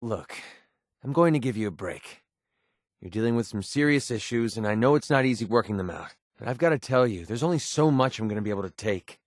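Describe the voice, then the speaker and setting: An actor playing a young, cynical but sentimental mercenary in a video game, talking in a casual, wry way. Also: male voice, mid-range voice, lively intonation, brisk, no room sound, clean studio sound, natural voice. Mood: stern. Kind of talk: video game companion voice lines.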